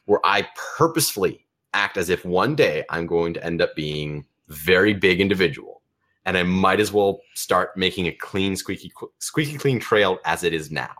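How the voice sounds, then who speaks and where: A man's voice: sits low, lively intonation, moderate pace, fairly bright tone. A teenage boy whose first language is Romanian, rambling animatedly as a caller on a call-in show and often restarting his sentences. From United States and Romania. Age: teens. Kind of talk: casual chat on a call-in show.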